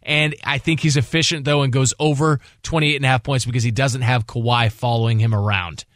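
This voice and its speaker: A man's voice: medium pitch, steady tone, a little fast, a bit bright, dry recording, studio quality. A man co-hosting sports talk radio, bantering casually with jokey asides and repeated phrases.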